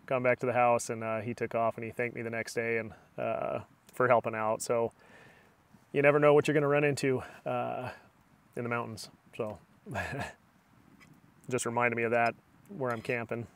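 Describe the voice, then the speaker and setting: Relaxed man telling stories from a tent. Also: medium pitch, clean tone. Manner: relaxed storytelling, chatty. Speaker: outdoor vlogger. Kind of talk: casual camping vlog. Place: inside a small hot tent at night. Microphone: camera on a tripod, then close phone at arm's length.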